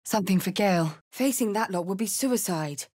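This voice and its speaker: A woman's voice: mid-range voice, expressive, fairly quick, a little mellow, close to an everyday voice. A woman playing a blunt, earthy, battle-hardened tiefling barbarian in a video game. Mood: guarded. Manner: blunt, earthy, salty-tongued. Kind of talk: video game character voice lines.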